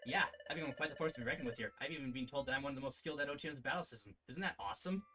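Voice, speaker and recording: A man's voice: medium pitch, very fast, music in the background, natural voice. A man voicing a cheerful, boastful young female character who chatters eagerly while reading a visual novel aloud.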